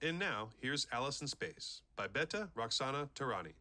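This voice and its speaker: A man's voice: medium pitch, big pitch swings, moderate pace, heavy background noise. A young man hosting a podcast with scripted radio introductions. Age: young adult. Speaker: podcast host.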